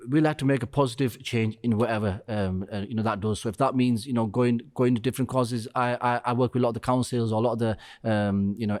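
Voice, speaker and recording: A middle-aged man being interviewed on a podcast as a restaurant co-founder. He speaks earnestly and haltingly and says "you know" often. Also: mid-range voice, darkish tone.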